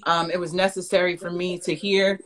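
A woman in her thirties from New York City with a New York accent, hosting a video-call interview in a reflective, measured way. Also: fairly low pitch, flat delivery, noisy background. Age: 30s. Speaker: host. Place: living room with plants.

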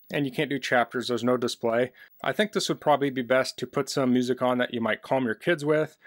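A man talks through a hands-on product demonstration with a mid-pitched voice. He is plain-spoken and practical, explaining things casually, and the indoor recording is fairly dry. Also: no echo. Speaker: product reviewer. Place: basement, indoors.

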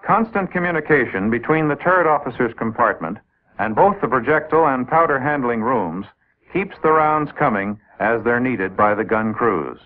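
A man's voice: mid-range voice, animated, moderate pace. An American man narrating a 1950s military training film in a methodical, explanatory manner, addressing trainees directly. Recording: old 1950s film soundtrack, tinny narrow band.

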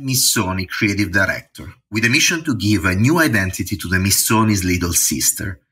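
A man with an Italian accent hosts a podcast, and his voice has a bright, forceful edge over a lowish pitch. He asks friendly questions over a video call, wearing headphones. He is in his forties. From northern Italy. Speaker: podcast host. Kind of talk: podcast interview.

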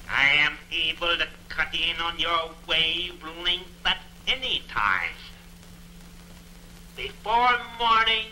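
Male villain, 1940s serial: sharp, forceful, slow menace, echoey humming old soundtrack. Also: fairly high pitch, a little hiss or hum, exaggerated voice. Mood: menacing, gloating. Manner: slow, deliberate, imperious. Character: invisible male villain mastermind, commanding and menacing. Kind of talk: 1940s film serial acting.

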